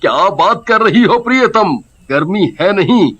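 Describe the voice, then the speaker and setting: Bright, blustering cartoon husband. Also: male voice, high-pitched, lively intonation, unhurried, heavily acted. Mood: sweet-talking. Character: blustering, flattering village husband and father. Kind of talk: animated story character.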